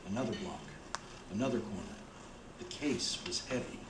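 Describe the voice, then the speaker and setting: A man's voice: on the low side, animated, moderate pace, noisy background, natural voice. A man acting as the plain, grim storyteller of a radio play, reading scripted narration. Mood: grim, measured. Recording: roomy, with music behind.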